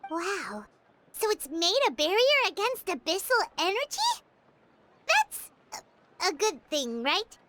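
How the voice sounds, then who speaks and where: A woman voicing a small, excitable floating companion who talks about herself in the third person. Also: very high voice, takes it slowly, background noise, heavily acted. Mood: curious. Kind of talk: game cutscene voice acting.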